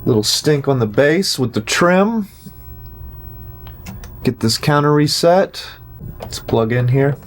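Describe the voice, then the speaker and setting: Man, mid-pitched voice, casual vlog host, wry, self-deprecating, faint noise. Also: expressive, takes it slowly, long pauses. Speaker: host, home-recording musician.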